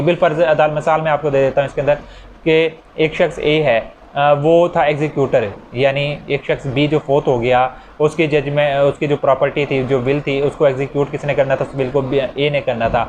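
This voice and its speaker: A male lecturer with a Pakistani accent and a voice pitched a little high explains things didactically. He restates each point in plainer terms and works through examples. The recording is dull and lo-fi, with faint noise behind him. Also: flat delivery, moderate pace, a little mellow. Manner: didactic, restating key points in simpler words. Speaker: law lecturer.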